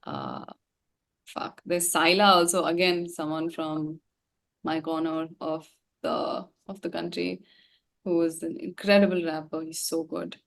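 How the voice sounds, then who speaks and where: A woman with a slightly low voice talks in a loose, casual way. She is effusive and slangy, like a musician chatting in an interview. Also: level tone, measured pace, vocal fry. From India. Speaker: singer and musician, interview guest. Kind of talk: casual chat.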